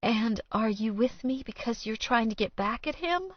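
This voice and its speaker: Woman acting out a hurt, furious young woman, higher-pitched. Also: animated, normal speed, dry recording, lo-fi sound. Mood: tearful, shaky. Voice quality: wobbly, quavering. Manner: emotional, accusing, crying out. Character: hurt, angry young woman confronting her lying lover.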